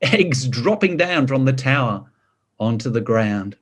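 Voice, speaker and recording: A man reading a picture book to children, expressive, drawing out words and adding chatty asides and questions. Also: medium pitch, a little slow. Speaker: story reader.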